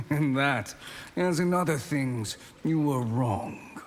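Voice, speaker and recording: A man voicing a haughty scheming sorcerer in a fighting game, with a measured, condescending and menacing manner. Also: medium pitch, lively intonation, heavy background noise. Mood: smug, menacing. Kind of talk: fighting game character acting. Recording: reverberant hall.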